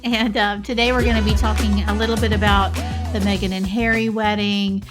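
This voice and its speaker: Chatty woman podcast co-host. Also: medium pitch. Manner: conversational, hedging with fillers. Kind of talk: casual podcast chat.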